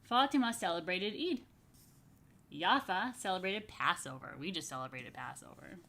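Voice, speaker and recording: A woman's voice: lowish voice, gravelly. A woman reading a picture book to young families as a rabbi, stretching key phrases expressively and slipping into chatty asides. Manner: expressive, slow emphatic storytelling with chatty murmured asides. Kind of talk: children's story read-aloud with casual asides.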